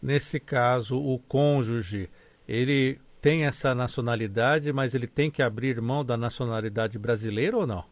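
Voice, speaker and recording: A man's voice: medium pitch, normal speed. A man hosting an interview, asking polite, formal questions.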